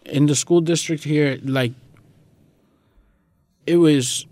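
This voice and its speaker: A man's voice: on the high side, steady tone, slow pace, a little mellow, no echo. A young man from Guatemala speaking informally and with slang as he answers questions in a casual interview, leaning often on filler phrases. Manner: informal, slangy, peppered with "like" and "you know".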